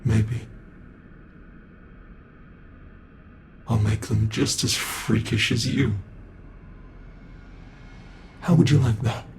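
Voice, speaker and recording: A British man playing a sadistic predatory monster in a dark horror ASMR roleplay, taunting and mocking his prey with drawn-out menace. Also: medium pitch, fairly even intonation, creaky, slight background noise. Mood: cruel mock pity.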